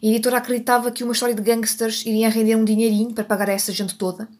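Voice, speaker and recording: A woman's voice: fairly high pitch, little pitch movement, rapid-fire, a little mellow. A Portuguese woman hosting a podcast, chatting without a script, often correcting herself and laughing at her own slips. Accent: European Portuguese. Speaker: podcast host. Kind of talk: unscripted solo podcast chat.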